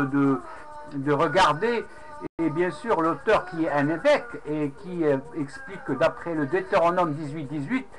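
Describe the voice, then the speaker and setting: A man whose first language is Arabic recounts a religious debate into his webcam, pausing often with fillers and restarting his sentences. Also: on the high side. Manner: hesitant, many fillers and restarts. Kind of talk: unscripted webcam monologue. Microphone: webcam microphone. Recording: noisy home webcam recording.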